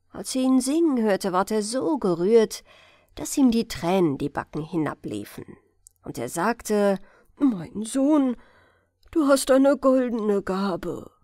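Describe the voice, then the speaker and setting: A woman narrating a fairy tale as a gentle bedtime audiobook reading. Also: mid-range voice, no room sound, studio quality. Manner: slow, melodic storytelling.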